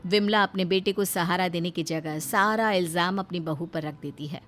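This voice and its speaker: A woman with a fairly low voice narrates a scripted story. She speaks as the storyteller, linking the scenes of a family moral tale. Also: moderate pace, a bit bright, soft background music.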